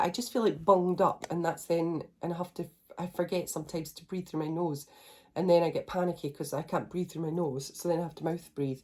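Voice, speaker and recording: Casual vlogger, thirties, woman, congested nose, slightly low voice, rambling and chatty. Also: mellow. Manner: chatty, rambling, self-reassuring. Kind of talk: casual video diary.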